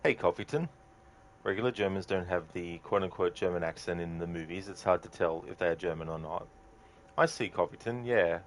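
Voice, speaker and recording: A man chatting casually and haltingly as he live-streams a video game, musing aloud to viewers. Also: sits low, slight background noise. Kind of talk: casual chat while gaming.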